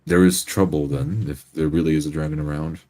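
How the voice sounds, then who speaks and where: Man playing a very deep-voiced, anxious adventurer. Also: fairly even intonation, brisk, dark tone. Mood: worried. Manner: hesitant, stammering. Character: anxious, courteous male fantasy adventurer.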